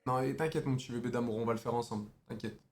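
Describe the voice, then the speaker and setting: Brisk, slangy teasing young man. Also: medium pitch, steady tone, creaky, darkish tone, faint music behind. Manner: mocking, slangy banter. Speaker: streamer chatting with strangers on video chat. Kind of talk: casual teasing chat.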